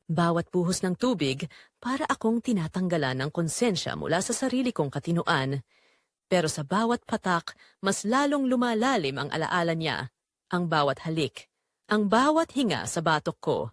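A woman's voice: on the low side, dry recording, clean studio sound. A woman with a Filipino accent reading a first-person scripted drama in a confessional, storytelling manner. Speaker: audio drama narrator.

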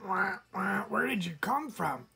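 A woman voicing a group of jabbering young goslings in a storybook, husky and fretful. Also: mid-range voice, animated, measured pace, over music, pitched above the performer's own voice. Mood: nervous, curious.

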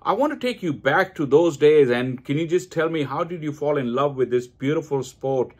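A man's voice: fairly high pitch, lively intonation, normal speed, darkish tone, husky. A middle-aged man hosting an online interview, asking questions in a formal, polite way. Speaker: host. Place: indoors, room with curtains.

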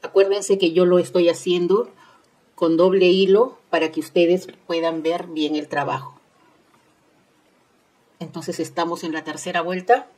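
A woman's voice: on the low side, fairly even intonation, measured pace, long pauses, mellow, poor audio. A woman hosting an informal step-by-step craft tutorial, instructing with repeated phrases and tag questions. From Peru. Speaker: host of a crochet tutorial channel.

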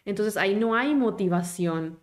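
A woman, a German teacher in a live online class who talks with lively encouragement and asks her viewers many questions. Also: mid-range voice, moderate pace. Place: small home office indoors.

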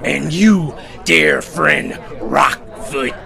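An actor voicing a scheming, boastful villain army commander in a fantasy audio drama, forceful and emphatic. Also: male voice, a little high, animated, exaggerated voice. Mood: gloating, scheming. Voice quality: gravelly. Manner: forceful, emphatic, slow and menacing.

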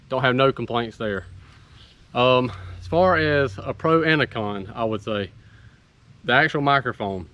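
A man's voice: mid-range voice, a bit bright. A man, giving a casual, unscripted product review in a plain, folksy way. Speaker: product reviewer and channel host. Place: outdoors in leafy woods beside a motorcycle.

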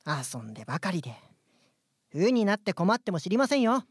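High, husky, flustered worker ant. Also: male voice, big pitch swings, normal speed, no echo, studio quality, full character voice. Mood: huffy, prim. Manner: indignant, polite, dutiful. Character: earnest, flustered, prim young male worker ant. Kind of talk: live radio drama acting. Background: music.

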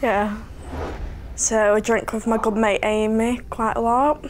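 A nineteen-year-old woman, chatting and laughing through a relaxed home interview. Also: a little high, measured pace, slight background noise. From Leyland, Lancashire, England. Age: young adult. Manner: chatty, giggly, jokey. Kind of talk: casual interview chat. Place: living room at home, indoors.

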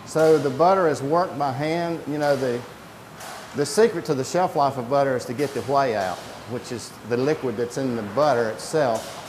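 Middle-aged male interviewee, plain explainer delivery, medium pitch, roomy industrial acoustics. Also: normal speed. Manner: plain-spoken, step-by-step. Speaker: creamery worker explaining production. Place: indoor creamery processing room with steel tanks. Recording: echoey room, loud equipment noise.

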